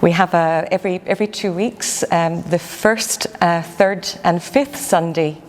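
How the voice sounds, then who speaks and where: A middle-aged Scottish woman chatting in an interview about her church, speaking in quick bursts with fillers. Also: on the low side. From Scotland. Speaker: parishioner. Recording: long reverb, faint noise.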